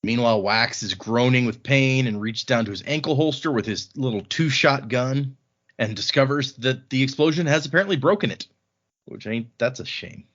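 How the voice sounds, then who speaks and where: A man's voice: medium pitch, moderate pace, fairly bright tone, muffled recording. A man hosting a podcast, retelling a fantasy novel in casual, colloquial language with wry asides. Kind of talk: casual chat, informal book recap.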